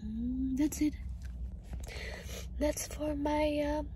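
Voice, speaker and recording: A woman in her thirties with a hoarse, breathy voice that often breaks into a whisper, upset and halting as she gives a casual personal update to camera. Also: sits high, fairly even intonation. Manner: halting, hesitant. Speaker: vlogger. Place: inside a parked car. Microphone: phone at arm's length. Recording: faint background noise in car.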